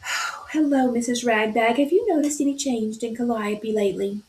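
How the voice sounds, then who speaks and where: A woman's voice: on the high side, normal speed, a little mellow, pitched above the performer's own voice, natural voice. A woman voicing a prim, worried mother, read aloud from a storybook. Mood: fretful. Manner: fretful, prim. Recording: roomy echo.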